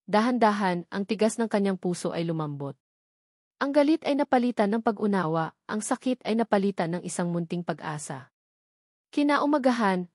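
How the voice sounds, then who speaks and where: A woman's voice: mid-range voice, a little mellow, no echo, clean studio sound. A woman with a Filipino accent narrates a scripted romance story in a dramatic storytelling style.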